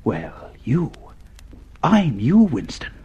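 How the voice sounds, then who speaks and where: An actor playing a sly, needling inner voice of a rebellious conscience in a radio drama, insinuating and probing. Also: male voice, medium pitch, huge pitch swings, drawn-out words, a little mellow, husky, dull audio, full character voice.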